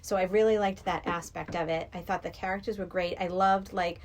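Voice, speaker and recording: Middle-aged woman, slightly low, enthusiastic. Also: fairly even intonation, a little fast, a little hiss or hum. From Massachusetts, United States. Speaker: podcast guest. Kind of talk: casual movie discussion.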